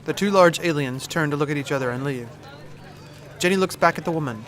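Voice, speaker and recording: A man's voice: on the high side, a little fast, fairly bright tone. A man giving scripted, present-tense narration for an audio drama. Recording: music behind.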